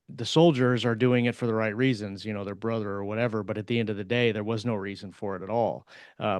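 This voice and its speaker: A man talking casually as a podcast co-host, in a rambling, halting way that circles around his point. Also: fairly low pitch, clean tone, no room sound, clean studio sound. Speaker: podcast co-host and fiction writer. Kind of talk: casual discussion.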